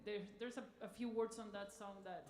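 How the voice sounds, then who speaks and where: A woman's voice: mid-range voice, steady tone, fairly quick, a little mellow. Uruguayan-accented woman in an echoey room. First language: Spanish. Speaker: musician in a jazz band. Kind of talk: casual chat with an audience. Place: indoor museum performance space. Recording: echoey room, live event microphone.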